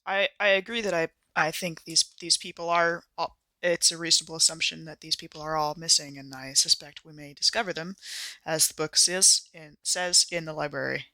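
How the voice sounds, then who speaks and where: A man's voice: a little high, steady tone, fairly quick, bright, forceful tone, no room sound. A man roleplaying in a tabletop game, with formal wording and hesitant repeats. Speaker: player in an actual-play podcast.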